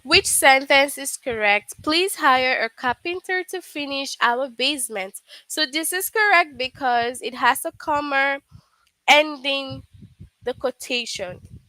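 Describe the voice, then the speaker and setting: A woman's voice: high-pitched, animated, a little slow, sharp tone, no echo, low-quality recording. A woman teaching a live online grammar lesson, patiently checking understanding with frequent "okay?".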